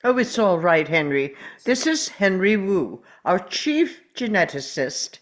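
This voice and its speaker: A woman reads the part of a proud, genial park founder in a drama read-through. The delivery is slow and animated, like a showman reassuring guests. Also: medium pitch. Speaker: amateur actor at a table read. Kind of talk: script read-through acting.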